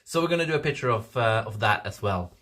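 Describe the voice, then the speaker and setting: A man's voice: fairly low pitch, lively intonation, quick pace, pushed and bright. A Norwegian man in his forties with a Norwegian accent, chatting casually to his livestream viewers, rambling with frequent restarts and laughter. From Norway. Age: 40s. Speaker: livestream host.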